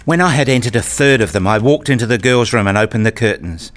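A man reading scripted first-person narration for a radio play in a measured, understated, literary manner. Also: mid-range voice, animated. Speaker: narrator and lead character, a father.

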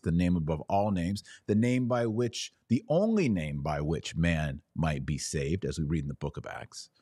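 An American man hosting a podcast, giving opinionated church news commentary in an emphatic way, with deliberate pauses and sardonic asides. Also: low-pitched, a little mellow, no room sound, clean studio sound.

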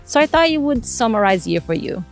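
A woman in her forties from Brazil, speaking English with a Brazilian Portuguese accent and hosting a podcast in an earnest, measured way. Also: on the high side, sing-song, gravelly, soft background music. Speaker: podcast host. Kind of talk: scripted podcast intro and casual chat.

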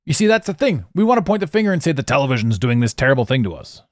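A man hosting a solo podcast, talking intensely and repeating words as his voice swings between loud and quiet. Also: medium pitch, sing-song, very fast, no echo, clean studio sound. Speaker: podcast host.

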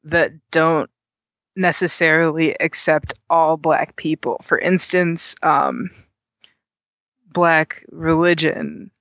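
Male interview guest, earnest, gravelly and fairly high-pitched. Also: flat delivery, slow pace, pushed and bright, no echo, muffled recording. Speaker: guest, gaming community organizer. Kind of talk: interview conversation.

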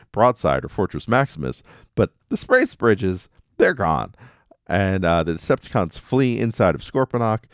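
A man's voice: on the low side, dry recording, studio quality. A man hosting a podcast, casually recapping episodes with wry, jokey asides. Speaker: podcast host.

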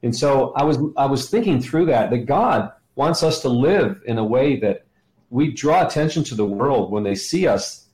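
A man's voice: medium pitch, lively intonation, normal speed, darkish tone. Mature man in an echoey room. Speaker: podcast guest, ministry leader. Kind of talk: conversational interview answers. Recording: roomy, echoey sound.

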